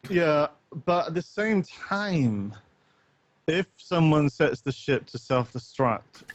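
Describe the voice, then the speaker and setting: A man's voice: fairly high pitch, sing-song, slow. A man co-hosting a podcast, chatting casually with live viewers in a rambling, hesitant, wry way. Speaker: podcast co-host and streamer. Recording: dull, close, lo-fi voice chat.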